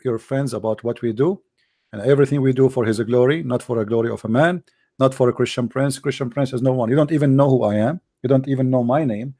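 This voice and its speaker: A man's voice: medium pitch, mellow, no echo. A man hosting a heated call-in debate, his voice tired and dry, combative and repeating himself. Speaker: debate show host.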